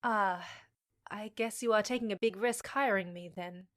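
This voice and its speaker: A woman's voice: mid-range voice, normal speed, lightly acted. An actress playing a frank, savvy young half-fae woman, teasing yet supportive, with a wry conversational manner in an audio drama. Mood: thoughtful.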